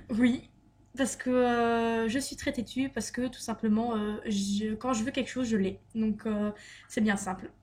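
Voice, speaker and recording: A woman's voice: fairly high pitch, fairly even intonation, a little slow, darkish tone. A young woman vlogger reading tag questions aloud and answering them in a chatty, giggly way, with frequent fillers and "donc voilà". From Luxembourg. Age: young adult. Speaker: beauty vlogger.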